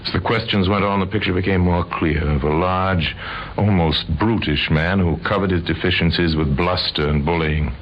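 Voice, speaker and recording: A man narrating an old-time radio crime drama in a grave, deliberate, dramatic manner with a sardonic edge. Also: sits low, steady tone. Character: grave, sardonic male narrator of murder cases. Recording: dull, noisy old radio recording.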